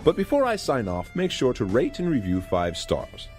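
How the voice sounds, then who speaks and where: A man's voice: mid-range voice, wildly swooping pitch, normal speed. A man narrating a comic fantasy drama from a script in a mock-dramatic manner. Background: loud music.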